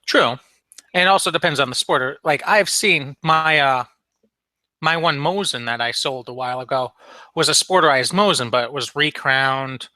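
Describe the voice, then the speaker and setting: A man's voice: medium pitch, normal speed, bright, forceful tone, no room sound. A man chatting casually as a podcast co-host, rambling along with frequent ums and restarts. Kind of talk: casual chat about firearms.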